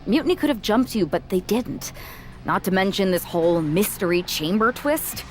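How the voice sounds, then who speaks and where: An actress playing a candid, teasing confidante with a dry, skeptical delivery in a video game cutscene. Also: female voice, mid-range voice, animated, normal speed, a little hiss or hum, close to an everyday voice. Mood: concerned, skeptical. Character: candid, teasing young woman, wrestler's longtime confidante.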